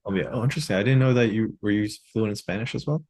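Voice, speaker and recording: A man's voice: lowish voice, rapid-fire, darkish tone. A man hosting an interview, asking curious questions between brief affirming interjections. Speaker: interview host. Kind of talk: casual interview.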